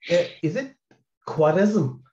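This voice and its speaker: A man's voice: high voice, expressive, slow pace, warm tone, reverberant, muffled recording. A man answering in a quiz, casually thinking aloud. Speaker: quiz contestant.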